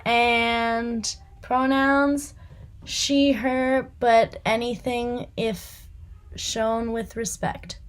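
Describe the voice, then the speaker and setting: A young woman from New Jersey, playful and quick to laugh, answering documentary interview questions. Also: on the high side, flat delivery.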